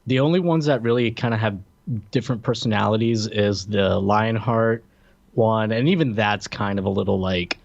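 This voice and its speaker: A man's voice: lowish voice, normal speed, gravelly, dry recording, muffled recording. A man in his thirties or forties from Puerto Rico talks casually as a podcast co-host, wry and a bit hesitant. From Puerto Rico, later United States. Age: 30s to 40s. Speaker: podcast co-host and film reviewer. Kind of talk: casual unscripted film discussion.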